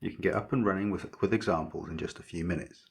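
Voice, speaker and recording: A man narrating a scripted electronics project tutorial as its maker, explaining methodically in a measured way. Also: low-pitched, creaky, breathy edge.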